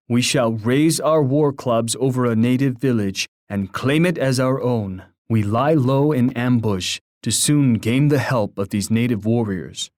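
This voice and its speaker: An actor playing a proud, poetic Native war chief in a video game, speaking in a formal, grandiose, oratorical manner. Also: male voice, medium pitch, darkish tone, dry recording, clean studio sound, lightly acted. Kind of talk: scripted video game voice lines.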